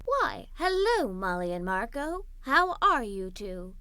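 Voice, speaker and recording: Woman doing a sweet lady librarian for a kids book, high and very slow, gently firm. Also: very animated, clear, resonant tone, no room sound, exaggerated voice. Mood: kindly.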